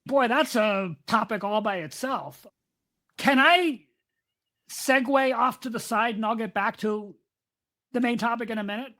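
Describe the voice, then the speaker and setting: A woman's voice: fairly high pitch, measured pace, fairly bright tone. A woman co-hosting a podcast in casual discussion, explaining ideas at length and drifting into side topics. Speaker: podcast co-host.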